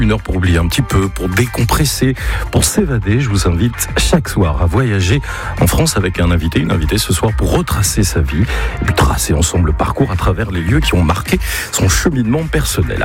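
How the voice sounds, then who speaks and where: A man's voice: on the low side, expressive, fairly quick, over music. A man hosting a radio interview show, chatty and teasing with his guest. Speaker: radio host.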